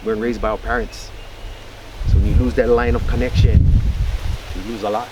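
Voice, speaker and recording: A middle-aged man, a local hunter telling stories in a relaxed, earnest, drawn-out way. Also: on the low side, muffled recording, heavy background noise. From Hawaii. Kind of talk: casual storytelling.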